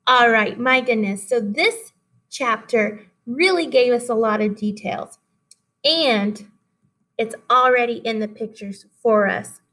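A woman in her thirties, a teacher giving an expressive, instructive read-aloud lesson for children. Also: on the high side, slow. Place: small classroom indoors.

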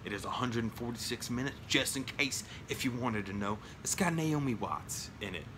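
A man in his thirties chatting casually at home about his film collection, rambling with lots of fillers and jokes, his voice going hoarse. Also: medium pitch, animated, normal speed, noisy background. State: losing his voice. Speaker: collection vlogger. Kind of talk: casual home video chat. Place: small room at home, indoors. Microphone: phone or webcam at arm's length.

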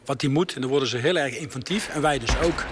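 A man's voice: medium pitch, fast. A man who runs a bowling lane firm, explaining his ideas in earnest to a radio reporter at a bowling alley, speaking into a handheld mic. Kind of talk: radio interview answers. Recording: on-location radio report, background noise. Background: bowling alley ambience.

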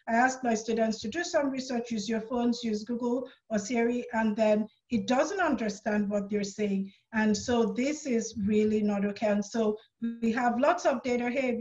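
A woman's voice: a little high, a little mellow. Woman with a Nigerian British accent. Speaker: panelist and educator. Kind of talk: panel discussion. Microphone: video call. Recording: muffled video call audio.

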